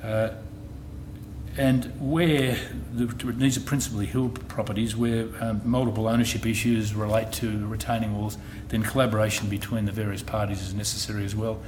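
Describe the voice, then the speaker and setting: A middle-aged man with an Australian accent, an insurance executive giving a presentation at a public meeting, measured and often restarting his phrases. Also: fairly low pitch, flat delivery. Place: meeting hall. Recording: noisy meeting room recording.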